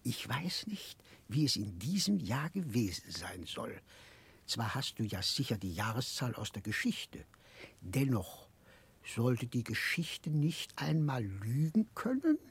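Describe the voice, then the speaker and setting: A man in a radio play voicing a muddled, childlike, secretive gentleman in a hushed, breathy voice, halting and correcting himself. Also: medium pitch, big pitch swings, exaggerated voice. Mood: confused, hushed. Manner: halting, confused, conspiratorial, self-correcting. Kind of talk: 1960s German radio play acting.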